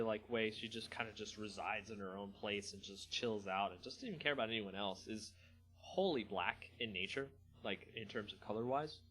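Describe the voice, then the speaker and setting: Expressive man reading aloud over music. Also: on the low side. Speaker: host reading a fantasy story aloud. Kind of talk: dramatic story reading with casual asides.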